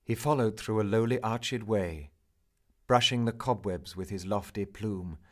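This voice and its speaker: A man narrating a poem in a theatrical, measured manner, swelling for emphasis and hushing at line endings. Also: on the low side. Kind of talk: dramatic narrative poetry recital.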